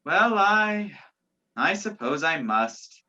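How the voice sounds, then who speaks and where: A young woman playing a sceptical, gallant gentleman in an amateur radio play, with a theatrical, incredulous manner. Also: on the low side, big pitch swings, takes it slowly, exaggerated voice. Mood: startled. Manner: incredulous, theatrical, old-fashioned phrasing. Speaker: student actor in a school radio play. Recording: dull lo-fi home recording.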